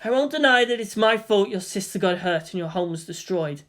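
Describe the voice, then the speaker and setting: Narrator playing a teenage boy, sorrowful, soft-spoken, earnest, measured, audiobook story character. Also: mid-range voice, lively intonation, natural voice. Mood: calm remorse.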